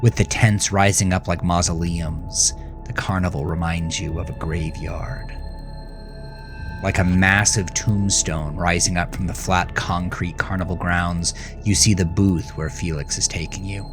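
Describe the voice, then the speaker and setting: A man's voice: deep voice, fairly even intonation, measured pace, over music. A man narrating a horror story in a dramatic, grave and suspenseful manner. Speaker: author narrating his own horror fiction.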